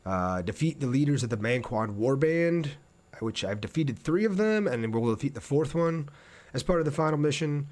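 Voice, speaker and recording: A man livestreaming his gameplay in a middling-pitched voice. He talks casually to his chat, laid-back and chatty, reading comments and thinking aloud as he goes. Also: animated, normal speed, a little mellow. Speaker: game streamer.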